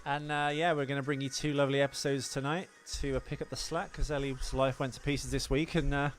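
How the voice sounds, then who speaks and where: A man's voice: medium pitch, flat delivery, fairly quick, faint music behind. A man hosting a casual film podcast, bantering in a sweary, self-deprecating way. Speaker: podcast host.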